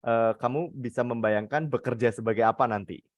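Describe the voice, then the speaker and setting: A man's voice: mid-range voice, fast, dry recording, highs cut off. A man with an Indonesian accent teaching a casual live English class, repeating words and phrases as he explains encouragingly. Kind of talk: casual conversational lesson, switching between two languages.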